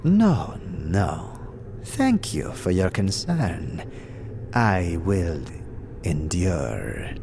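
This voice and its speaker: Sickly undead mortician, formal, suspicious, drawling very slowly, dramatic swoops in pitch, audio drama. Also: male voice, big pitch swings, background noise, heavily acted. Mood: weary, frail.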